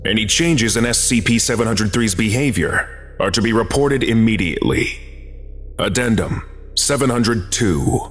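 Low-voiced male narrator in long reverb. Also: expressive. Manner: formal, measured, clinical. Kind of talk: scripted narration in the manner of a formal archive file briefing. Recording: long reverb, music behind. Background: music.

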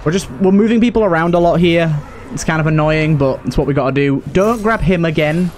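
A man with a fairly high-pitched voice gives casual commentary as a gameplay host. He thinks aloud in short, informal remarks. Faint music plays behind him. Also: a little fast, darkish tone.

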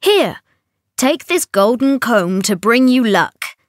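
A woman voicing a helpful old hag in a storybook, advising in a deliberate manner. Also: a little high, big pitch swings, no echo, clean studio sound. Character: old hag sisters on mountaintops, helpful fairy-tale crones.

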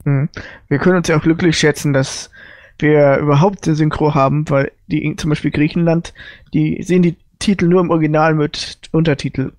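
A man's voice: fairly high pitch, fairly even intonation, brisk, darkish tone, no room sound. A man with a German accent chatting casually as a podcast interviewer.

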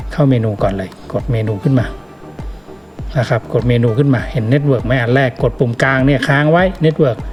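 A man giving a casual hands-on tutorial, instructing informally step by step and repeating key points. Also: medium pitch. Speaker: product demonstrator giving a tutorial. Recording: music playing behind the voice. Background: clearly audible background music.

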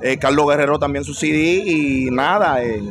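A man's voice: fairly high pitch, fairly even intonation, normal speed, over music. A man, a singer speaking casually in an interview on a handheld microphone. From Dominican Republic. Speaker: salsa singer being interviewed.

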